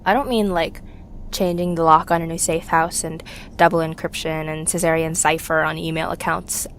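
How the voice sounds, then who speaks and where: A woman playing a young fugitive rebel, bitter and haunted with grief, recording an intimate, halting confessional monologue for an audio drama. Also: sits low, level tone, a little hiss or hum.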